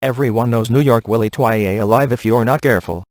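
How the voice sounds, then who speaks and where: Actor as a wisecracking deadpan ghost hunter, dry recording. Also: male voice, mid-range voice, fast talker, no echo, lightly acted. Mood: sardonic. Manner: deadpan, sarcastic. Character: wisecracking, smug male ghost hunter in a comic adventure. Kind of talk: video game voice acting.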